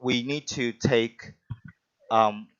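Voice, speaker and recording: A man hosting an online performance event over a video call, talking in a halting way with many restarts. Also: medium pitch, fairly even intonation, muffled recording, crowd noise. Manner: halting, with frequent restarts and fillers. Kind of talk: live-stream hosting, introducing guests.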